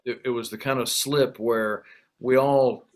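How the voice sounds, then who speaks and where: A man's voice: mid-range voice, steady tone, a little slow. A man hosting a conversation over a video call, talking in a rambling, self-deprecating way with many restarts. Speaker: event host.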